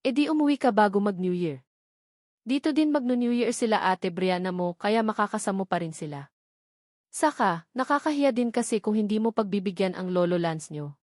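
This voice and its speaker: A woman's voice: medium pitch, animated, moderate pace, a little mellow, no room sound, clean studio sound, close to an everyday voice. A woman voicing a middle-aged mother in a novel, coaxing and reasoning with her grown daughter. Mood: persuading.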